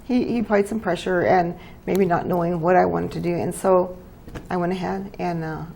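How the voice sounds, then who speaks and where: Gravelly older woman, chatty guest. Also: on the low side, fairly even intonation, darkish tone, slight background noise. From New Mexico, US. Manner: chatty, laughs easily, halting with fillers. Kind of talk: casual interview chat.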